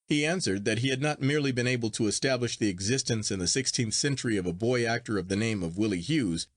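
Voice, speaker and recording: A man narrates classic fiction in a medium-pitched voice with lively, wide-moving intonation. His delivery is measured, articulate and expressive, clearly scripted storytelling. The sound is dry, with no room around it. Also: no echo. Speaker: audiobook reader.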